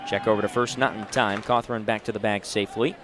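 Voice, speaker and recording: A man's voice: on the low side, steady tone, fast, music in the background, a crowd in the background. A man in his twenties doing live play-by-play sports commentary in short, punchy announcer phrases. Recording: noisy live venue sound.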